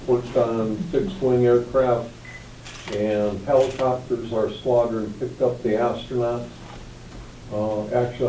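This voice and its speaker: A man's voice: medium pitch, warm tone. A man in his sixties giving a plain spoken introduction of himself. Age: 60s. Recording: echoey room, slightly distant mic.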